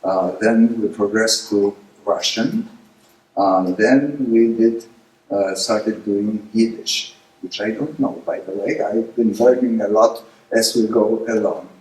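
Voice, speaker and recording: A middle-aged man whose first language is Polish, giving a lecture presentation, hesitant with many filler pauses but rising with emphasis on key points. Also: fairly low pitch, steady tone, a little mellow. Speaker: guest speaker. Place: lecture room. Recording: echoey hall, distant mic, faint noise.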